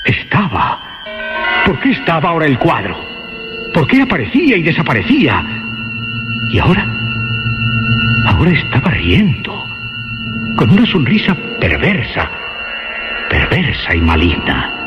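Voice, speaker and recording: A man narrating a horror radio drama in halting, emphatic, suspenseful phrases. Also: fairly high pitch, huge pitch swings. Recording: dull lo-fi radio sound, loud music. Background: loud music.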